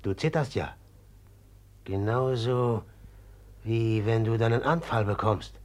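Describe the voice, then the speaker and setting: An actor in a German radio drama playing a brooding, submissive killer who speaks haltingly in a hushed, repetitive way. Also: male voice, lowish voice. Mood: subdued, haunted. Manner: halting, hushed, repeating himself. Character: brooding, eerily submissive man who has killed a woman.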